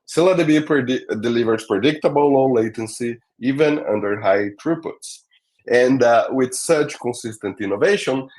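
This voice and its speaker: A man hosting a technical webinar, talking through slides with frequent fillers and "all right?" check-ins. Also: mid-range voice. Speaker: webinar host. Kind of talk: presenting a tech webinar.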